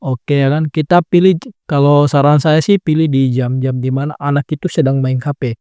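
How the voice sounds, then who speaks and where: A man's voice: a little high, fairly even intonation, moderate pace, mellow, smooth, dry recording, highs cut off. A young man giving a casual, chatty step-by-step editing tutorial, laid-back with lots of filler tags. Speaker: tutorial creator. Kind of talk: casual screen-recording tutorial.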